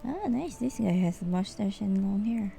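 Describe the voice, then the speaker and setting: A woman game streamer giving casual live commentary, musing in short, unhurried fragments. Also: mid-range voice, expressive, gravelly, mellow. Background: game music.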